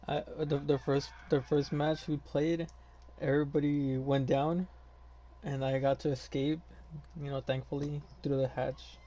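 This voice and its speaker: A man's voice: a little high, little pitch movement, unhurried, mellow, slight background noise. A man chatting casually while gaming, in a slangy, rambling way.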